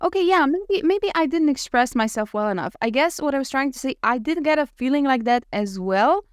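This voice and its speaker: A woman co-hosting a podcast, chatting casually about books in an animated, opinionated and sweary way. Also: high-pitched, fairly quick, dry recording, clean studio sound. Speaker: podcast co-host. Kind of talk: casual book discussion chat.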